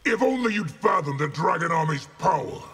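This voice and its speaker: An actor playing a belligerent villain warlord in a video game, with a harsh gravelly voice and scornful delivery. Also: male voice, on the high side, expressive, brisk, soft background music. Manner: scornful, forceful. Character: contemptuous, belligerent male villain warlord general. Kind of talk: video game character acting.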